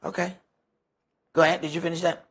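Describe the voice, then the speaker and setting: An American man leading a Bible study, preaching off the cuff in an emphatic way with repeated phrases and rhetorical questions, his voice rough and raspy. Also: on the high side, level tone, fast, fairly bright tone. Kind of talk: sermon, extemporaneous teaching.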